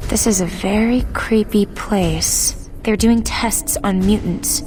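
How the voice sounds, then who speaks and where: An earnest young heroine voiced by an actress in a video game. She sounds determined and curious, with a middle-pitched voice. Music plays clearly behind her. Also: takes it slowly, natural voice. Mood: uneasy, focused.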